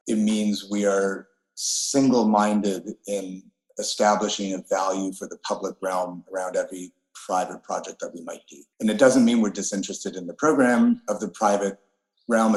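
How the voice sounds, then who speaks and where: Deep-ish guy architect talking earnestly about his firm, kinda echoey room. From Canada. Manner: earnest, deliberate, principled. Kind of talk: podcast interview answer. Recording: roomy echo.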